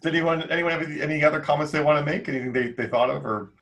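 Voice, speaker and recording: A man's voice: a little high, very fast, a bit bright. A man hosting a casual group podcast, chatting in a rambling, jokey way. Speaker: podcast host.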